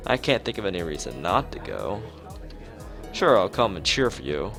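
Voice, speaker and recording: A man's voice: low voice, big pitch swings, normal speed, creaky, music in the background, exaggerated voice. A man voicing an easygoing, sheepish, mildly self-deprecating teenage schoolboy from a visual novel.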